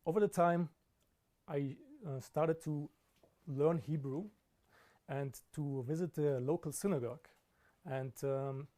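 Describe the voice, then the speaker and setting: A man telling in an interview how he came to Judaism, speaking in an animated, emphatic way. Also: a little high, slow, long pauses. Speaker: student. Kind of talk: interview answers.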